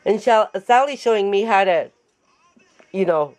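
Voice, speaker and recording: A woman with a raspy voice after an illness, chatting and joking as she demonstrates a paper craft in her home craft room. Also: mid-range voice, lively intonation, measured pace. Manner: chatty, joking, laughs often. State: recovering from illness. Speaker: craft tutorial host. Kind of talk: casual chat while demonstrating a craft.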